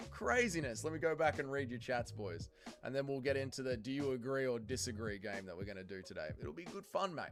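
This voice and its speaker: A man's voice: medium pitch, fairly bright tone. A young man, hosting a casual livestream and bluntly arguing with chatters he reads out. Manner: heated, blunt, calls chatters trolls. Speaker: livestream host reading chat.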